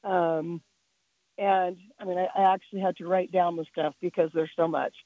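A woman calling in to a radio show, hoarse and hesitant, with many pauses. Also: on the low side, little pitch movement, no room sound, phone-quality sound. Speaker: caller.

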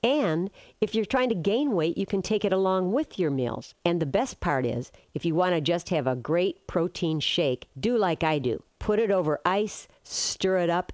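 A woman's voice: lowish voice, a little mellow, no room sound, clean studio sound. A woman giving an enthusiastic product testimonial, speaking straight to the listener. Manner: enthusiastic, direct address.

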